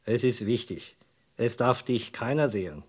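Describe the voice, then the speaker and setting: A man's voice: medium pitch, animated, unhurried, darkish tone, breathy edge. Radio drama supporting role: stern man who runs shady errands, mid-range voice, secretive, terse, authoritative. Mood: commanding. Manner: commanding, terse, suspicious. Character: stern, secretive male boss giving shady orders.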